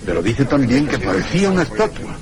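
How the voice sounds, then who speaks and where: An actor playing an earnest train conductor in a radio drama, explaining emphatically. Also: male voice, mid-range voice, very animated, quick pace, crowd noise, close to an everyday voice. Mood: earnest, baffled. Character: earnest male train conductor reporting a mystery. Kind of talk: Spanish radio drama acting.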